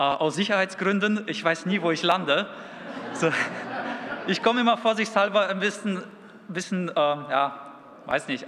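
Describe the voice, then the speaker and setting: A youth pastor in his thirties, a man with a high, bright, forceful voice. He starts conversational and loose, then reads aloud slowly and with care. His voice rings out in a church hall with a long reverb. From Kazakhstan. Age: 30s. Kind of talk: sermon.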